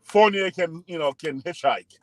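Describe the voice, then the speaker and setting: A man's voice: a little high, moderate pace. A man co-hosting a podcast, rambling and joking through a casual sports debate over a call and talking over others. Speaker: podcast co-host.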